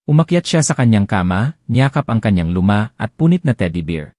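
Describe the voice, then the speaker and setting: A man narrating a romance audiobook in a gentle, expressive storytelling manner. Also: fairly low pitch, brisk, dry recording, studio quality.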